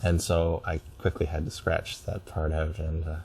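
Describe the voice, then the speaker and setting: Man, deep low pitch, reflective conversation, analytical, articulate, carefully worded, therapy client. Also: completely flat delivery, vocal fry, darkish tone, husky. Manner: analytical, articulate, measured wording.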